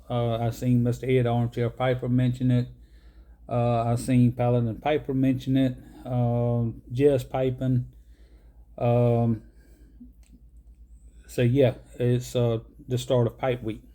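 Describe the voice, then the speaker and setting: A man's voice: medium pitch, mellow. A man, casually rambling through a show-and-tell vlog with many ums and uhs. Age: 50s. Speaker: pipe-smoking vlogger.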